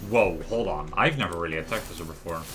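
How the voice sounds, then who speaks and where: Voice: low voice, a little fast, over music. A game streamer giving casual live commentary, muttering short reactions to the screen.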